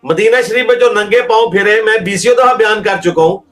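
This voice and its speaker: A man's voice: sits high, a little fast, a bit bright. A man with a Pakistani accent in a question-and-answer talk, arguing emphatically as a religious scholar and throwing out rhetorical questions.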